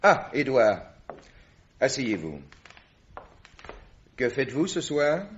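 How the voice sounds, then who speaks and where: A powerful old-school minister, husky, bossy but hushed, muffled recording. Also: male voice, medium pitch, big pitch swings, slow pace, heavily acted. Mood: confidential. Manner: commanding and confidential. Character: authoritative elder statesman, government minister. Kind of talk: vintage radio drama acting. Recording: dull old radio recording.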